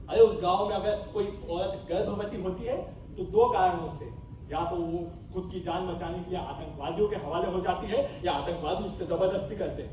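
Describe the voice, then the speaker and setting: Casting: male actor as defiant, sarcastic army captain, high voice, impassioned stage delivery, very echoey hall with clear noise. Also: warm tone, natural voice. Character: bitter, defiant army captain weary of war. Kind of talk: theatrical Hindi stage acting. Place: stage of a hall at a student festival. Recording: echoey hall, far mic, noisy.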